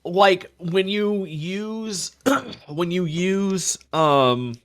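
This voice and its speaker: A man's voice: sits high, drawn-out words, fairly bright tone. A man co-hosting a podcast in a casual, conversational discussion. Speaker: podcast co-host.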